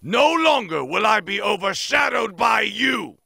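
A man voicing a proud, bitter alien warrior prince in an anime game, harsh, raspy and menacing. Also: high voice, big pitch swings, a little slow, pushed and bright, no echo, raised voice, clean studio sound, voice pushed up, full character voice. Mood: menacing, furious. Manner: menacing, furious declaiming.

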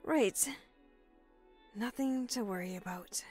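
A woman's voice: mid-range voice, darkish tone, soft background music, lightly acted. A woman playing a shaken, guilt-ridden grown daughter in an audio drama, speaking hesitantly. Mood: resigned, anxious. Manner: hesitant, halting.

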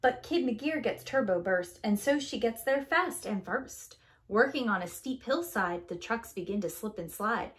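A woman in her thirties reading a rhyming picture book aloud to young children in an expressive, deliberate storytelling manner. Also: on the high side. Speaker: preschool teacher. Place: small indoor room with bookshelves.

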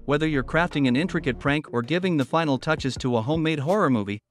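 A man reading a scripted promotional software tutorial as its narrator. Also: a little high, fast talker. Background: background music.

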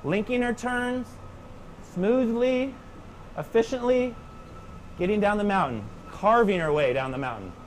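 High-voiced male snowboard instructor. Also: a little slow, long pauses, a little hiss or hum. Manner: calm, coaching cues in short phrases. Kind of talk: step-by-step instructional demonstration. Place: indoor training slope under a roof.

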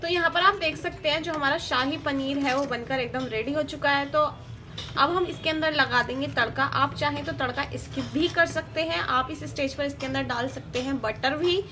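A woman hosting a cooking channel, talking through a recipe one step at a time in a steady, teaching manner and repeating each step. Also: high voice, moderate pace, a little hiss or hum. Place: home kitchen. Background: sizzling and stirring in a pan.

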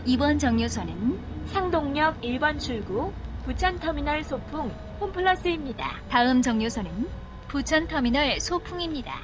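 Woman, bus stop announcer, high lively intonation, formal scripted delivery, noisy moving-bus recording. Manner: formal, measured. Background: vehicle noise.

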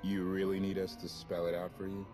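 An actor playing a confident, easygoing ex-officer rogue in a video game, casual and folksy. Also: male voice, sits low, monotone, brisk, music in the background, close to an everyday voice. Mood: persuasive. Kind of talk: video game cutscene acting.

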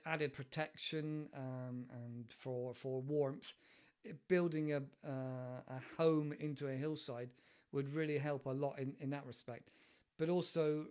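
A man in his forties with an English accent, explaining idioms in a relaxed, rambling way on a home podcast, with many fillers and restarts. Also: on the high side. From England. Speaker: English teacher podcast host. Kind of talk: casual explanatory monologue. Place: living room at home. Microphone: headset with desk mic, webcam.

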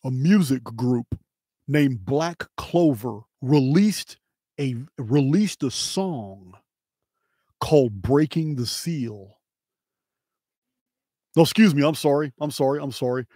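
Slow, deliberate middle-aged man, American accent. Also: medium pitch, expressive, mellow, no room sound, clean studio sound. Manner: emphatic, deliberate, pausing between short phrases. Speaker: video host and presenter. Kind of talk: solo presentation to camera, dramatic commentary. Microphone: close microphone on boom arm.

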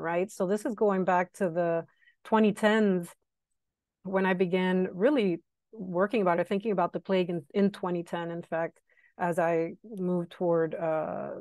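A woman in her thirties with a Miami Cuban accent, a guest historian talking on a video call through earbuds, chatty and quick to laugh. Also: medium pitch, dry recording. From Miami, Cuban-American. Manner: chatty, laughs easily, restarts phrases. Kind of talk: academic seminar talk. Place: home room. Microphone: wired earbud mic on video call.

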